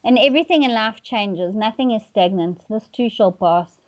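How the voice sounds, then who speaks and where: A woman's voice: medium pitch, expressive, normal speed. A South African woman co-hosting a casual live stream, rambling with self-mocking asides. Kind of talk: casual chat. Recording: dull lo-fi stream audio.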